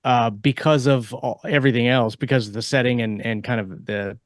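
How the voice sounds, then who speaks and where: Guy co-hosting a podcast, casual chat, jokey storyteller, pauses a lot, really dry sound. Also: mid-range voice, fairly even intonation, no echo. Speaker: podcast co-host and author.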